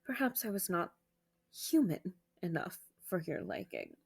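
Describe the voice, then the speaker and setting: Woman acting embittered proud vampire, gravelly, husky, dramatic, reproachful, formal, ASMR roleplay. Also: fairly low pitch, wildly swooping pitch, a little slow, full character voice. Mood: bitter, reproachful. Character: bitter, proud, hardened vampire noblewoman.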